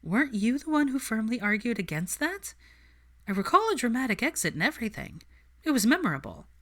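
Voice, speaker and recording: A woman's voice: fairly high pitch, sing-song, fairly quick, fairly bright tone, pitched above the performer's own voice, heavily acted. A woman voicing a determined, frank young witch in an audiobook-style story, articulate and earnest, with a teasing edge.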